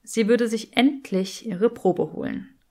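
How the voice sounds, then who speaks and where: A woman's voice: mid-range voice, slow, a little mellow. A woman reading scripted fiction as an audiobook narrator.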